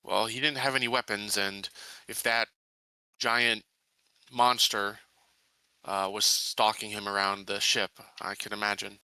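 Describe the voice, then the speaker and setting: A man casually roleplaying in a tabletop game in his own voice, haltingly thinking aloud. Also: fairly low pitch, flat delivery, sharp tone, clean tone, no room sound, rough recording.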